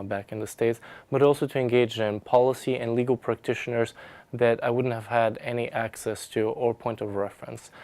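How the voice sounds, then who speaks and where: A man's voice: medium pitch, darkish tone, no room sound. A young man from Bulgaria giving a personal testimonial in articulate, formal academic language. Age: young adult. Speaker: research scholar, graduate student. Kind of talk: prepared personal testimonial to camera.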